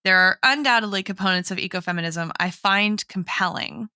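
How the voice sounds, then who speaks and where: A woman's voice: mid-range voice, fairly quick, pushed and bright, smooth, no room sound, clean studio sound. A woman co-hosting a podcast in an analytical, intellectual conversational style, often tagging her points with a checking "right?". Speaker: podcast co-host and academic in political theory.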